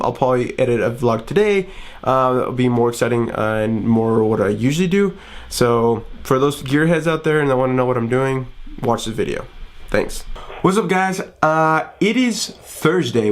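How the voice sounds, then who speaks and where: A man's voice: medium pitch, expressive, moderate pace, few pauses. A young adult man hosting a casual vlog from his small home office studio, talking in an upbeat, rambling way with many fillers and restarts. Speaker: vlogger.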